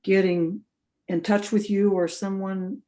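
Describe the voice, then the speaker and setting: A woman's voice: mid-range voice, flat delivery, unhurried, mellow. Woman on a call asking a couple more questions, kinda hesitant, stumbles a bit. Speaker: convention planning team member. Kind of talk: video call meeting.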